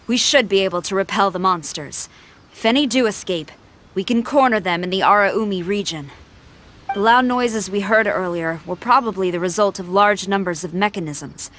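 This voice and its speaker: An actress playing a formal, dutiful female samurai general in a video game, measured and authoritative. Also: mid-range voice, a bit bright, a little hiss or hum, close to an everyday voice.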